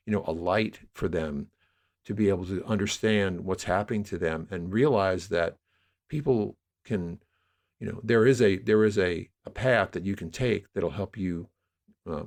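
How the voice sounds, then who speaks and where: A man with a fairly low voice talks as a podcast guest. He explains his creative work in a conversational, wordy way, often circling back to rephrase a thought. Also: creaky. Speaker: podcast guest, audio drama producer.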